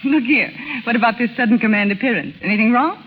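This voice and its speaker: A woman's voice: fairly high pitch, animated, fast talker, a little hiss or hum, lightly acted. An actress playing a devoted, self-possessed young woman in love, in 1930s radio drama style. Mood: teasing. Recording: tinny band-limited 1930s radio recording with music.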